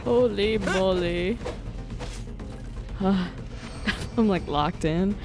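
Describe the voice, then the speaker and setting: A woman game streamer giving casual, playful live commentary with expressive, dramatic reactions. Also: mid-range voice, extremely slow. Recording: game music behind the voice.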